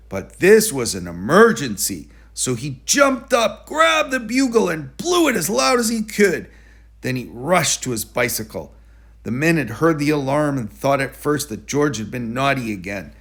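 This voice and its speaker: Lively man reading a children's storybook. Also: medium pitch. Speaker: storybook reader. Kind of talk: expressive children's story read-aloud.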